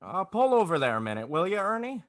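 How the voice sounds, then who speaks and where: A man's voice: sits high, sing-song, fast talker, a bit bright, dry recording, studio quality, heavily acted. A man playing a stubborn, tender-hearted young small-town dreamer in 1940s radio drama style, with a hesitant, stammering delivery. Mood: concerned. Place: indoors, recorded over a video call.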